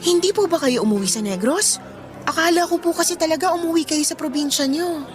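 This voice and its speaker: A woman's voice: extremely high pitch, brisk, faint music behind. An actress playing a respectful, devoted young woman carrying burdens, in a Filipino radio drama with a Filipino accent. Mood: polite, curious. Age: young adult.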